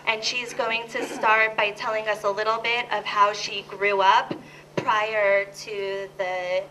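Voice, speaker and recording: Slow, hesitant woman host, bright voice. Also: medium pitch, little pitch movement, slight background noise, rough recording. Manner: slow and hesitant, many fillers. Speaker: event host. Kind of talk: introducing a speaker.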